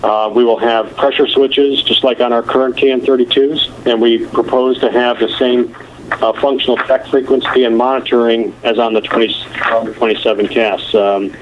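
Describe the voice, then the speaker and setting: A man giving a halting technical explanation as an engineer presenting on a telephone conference call. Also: medium pitch, little pitch movement. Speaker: nuclear plant engineer presenting. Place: conference call over the phone. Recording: muffled, noisy telephone conference line.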